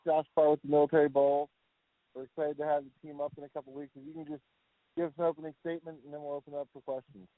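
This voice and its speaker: A man moderating a press conference call for a bowl game, brisk and businesslike. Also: on the high side, flat delivery, dry recording. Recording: phone line.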